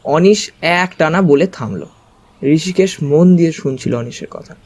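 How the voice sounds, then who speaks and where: A man's voice: a little high, measured pace, darkish tone. A man giving a dramatized first-person narration of a mystery story. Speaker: story narrator, also voicing the narrating character.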